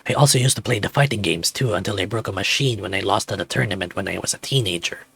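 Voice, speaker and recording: A man's voice: on the low side, fast talker, vocal fry, a bit bright, slightly rough, pitched below the performer's own voice, heavily acted. A man voicing a middle-aged adoptive father who banters in a stubborn, teasing way, in a visual novel. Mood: defensive, competitive.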